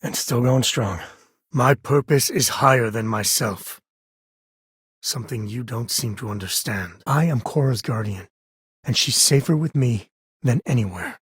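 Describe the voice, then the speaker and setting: An actor playing a rugged frontier space-cowboy companion in a video game, a wry, protective father with a husky American voice and a folksy, earnest way of talking. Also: medium pitch, a little slow, fairly bright tone, no echo, studio quality. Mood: earnest, measured. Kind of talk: video game character voice acting.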